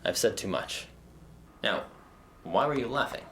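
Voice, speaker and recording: A man's voice: on the low side, animated, a little slow, sharp tone, heavily acted. A man playing a self-important, oblivious detective in a comedy audio drama, speaking in a pompous, theatrical way. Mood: smug, dramatic. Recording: echoey room, faint noise.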